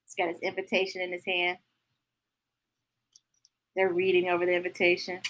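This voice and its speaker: A woman's voice: lowish voice, monotone, normal speed, fairly bright tone. A woman reading picture books aloud to children, playful and chatty, breaking into sing-song and sound effects. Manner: chatty, talking directly to young listeners. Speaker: children's story read-aloud host. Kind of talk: animated picture-book read-aloud with chatty asides.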